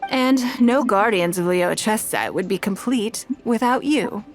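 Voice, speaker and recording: A woman's voice: medium pitch, animated, unhurried, soft background music, natural voice. An actress playing a poised, shrewd, wealthy businesswoman in a video game, speaking formally and articulately. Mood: poised, self-assured.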